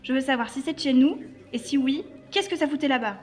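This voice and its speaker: Woman acting a high-voiced, stern intelligence chief. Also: quick pace, close to an everyday voice. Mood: brisk, demanding. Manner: authoritative, brusque. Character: stern, impatient female intelligence chief under pressure. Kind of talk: French audio fiction dialogue.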